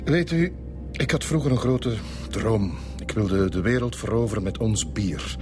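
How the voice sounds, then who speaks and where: An actor playing a middle-aged Flemish brewery owner in a radio drama, expansive, frank and confiding. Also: male voice, lowish voice, very animated, a little slow, over music. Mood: wistful, bitter. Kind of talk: Dutch-language radio drama acting.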